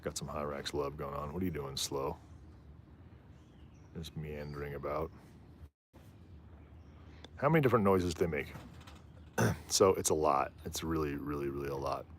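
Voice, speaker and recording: A man with a low voice hosting a live stream outdoors on a rooftop, chatting in a rambling, easygoing way. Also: moderate pace, long pauses, a little hiss or hum. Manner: rambling, easygoing, often trails off and laughs. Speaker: livestream host. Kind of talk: casual live commentary.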